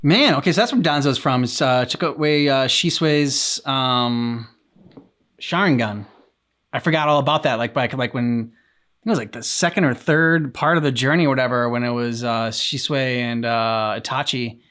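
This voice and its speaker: A man's voice: medium pitch, normal speed, a bit bright. A man hosting a reaction video who rambles casually and hesitates often.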